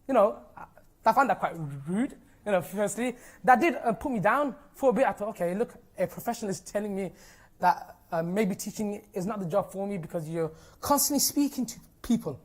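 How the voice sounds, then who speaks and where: A man's voice: high voice, a little fast. A young man speaks with a noticeable stammer, repeating sounds and stalling on the first syllables of words. He is a teacher addressing an audience in an informal, earnest, encouraging way, with many "you know" and "like" fillers. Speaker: teacher giving a conference talk. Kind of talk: informal personal talk to an audience.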